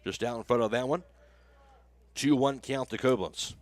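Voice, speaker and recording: A man's voice: medium pitch, moderate pace, dry recording. An American man calling a baseball game live as a radio play-by-play announcer, in clipped phrases that follow the action.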